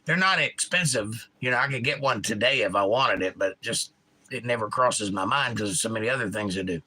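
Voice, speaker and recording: A middle-aged man with a Georgia accent, hosting a casual live chat and reading out viewer comments, enunciating crisply in an animated, opinionated way. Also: on the low side, a little fast, low-quality recording. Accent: Georgia, US South. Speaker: livestream host.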